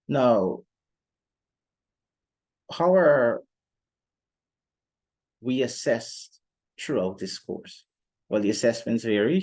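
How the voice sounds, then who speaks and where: A young man presenting an explanatory podcast monologue in a measured, deliberate way with formal phrasing. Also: mid-range voice. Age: young adult. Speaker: student podcast host.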